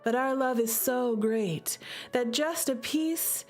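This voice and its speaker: A woman acts out a devoted female lover reciting a tragic love poem from a game. Her delivery is very slow and solemn, each phrase drawn out, over soft music. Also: a little high. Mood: wistful, solemn. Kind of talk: dramatic poetic reading.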